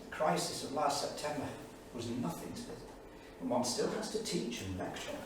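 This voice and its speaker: A man's voice: a little high, lively intonation, normal speed, lightly acted. A man playing a bitter, grieving 1938 academic, reading his journal aloud in a solemn, literary, emphatic way on stage. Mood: anguished. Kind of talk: staged dramatic reading of diary entries. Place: small studio theatre, staged reading. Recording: echoey theatre, distant mic, faint noise.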